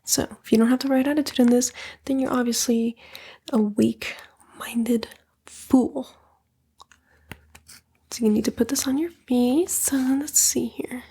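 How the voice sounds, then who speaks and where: A demon temptress played by a woman whispers in an ASMR roleplay, very breathy and high. She is a fallen angel who coaxes and condescends at an unhurried pace, vain and sly. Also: fairly even intonation, dark tone, heavily acted. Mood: condescending.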